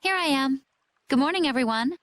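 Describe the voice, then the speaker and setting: An actress playing a calm, poised young woman fighter in a video game, speaking without hurry. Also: sits high, a little fast, no echo.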